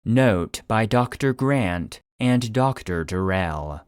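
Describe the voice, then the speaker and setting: A man giving scripted narration in a measured, clinical manner, like a report being read out. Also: fairly low pitch, expressive, smooth, no room sound, clean studio sound. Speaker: narrator and producer.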